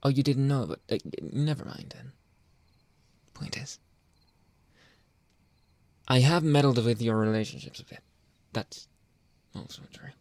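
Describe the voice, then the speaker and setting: A man playing a jealous, controlling young boyfriend in an intimate ASMR roleplay, halting and pleading, often dropping toward a whisper. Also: mid-range voice, a little mellow, no echo, natural voice. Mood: sheepish, evasive. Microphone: close, dry microphone.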